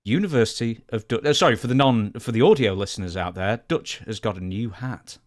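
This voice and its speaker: A man's voice: on the low side, a little fast. A man co-hosting a podcast, chatting casually and reading out plugs for the show. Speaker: podcast co-host.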